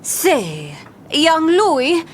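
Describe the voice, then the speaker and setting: A bold young pirate captain with a high, very animated voice. She swaggers and boasts in theatrical audio drama style, full of salty pirate talk. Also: drawn-out words, slight background noise, heavily acted. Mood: excited, swaggering.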